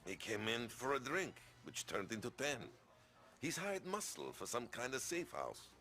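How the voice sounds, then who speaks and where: An actor playing an earnest, forthcoming gangland driver in a video game cutscene. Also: male voice, mid-range voice, sing-song, unhurried, bright, forceful tone, full character voice. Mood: earnest, informative.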